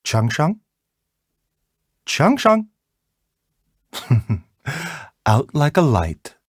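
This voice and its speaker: An actor playing a gentle, composed herbal doctor in a video game, polite and measured in manner. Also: male voice, mid-range voice, wildly swooping pitch, husky, dry recording, clean studio sound, exaggerated voice. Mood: gently amused. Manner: soft-spoken, polite, measured, bedside courtesy. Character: gentle, courteous, composed male herbal doctor, frail yet unhurried. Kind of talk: video game character voice lines.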